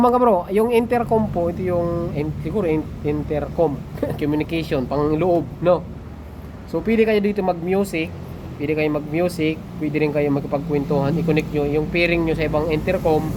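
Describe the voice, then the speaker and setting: Filipino male tutorial host, slightly high voice, informal and buddy-like, recorded amid clear ambient noise. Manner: informal, addresses viewers as bro. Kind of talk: casual hands-on tutorial chat. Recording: noisy vehicle and street ambience.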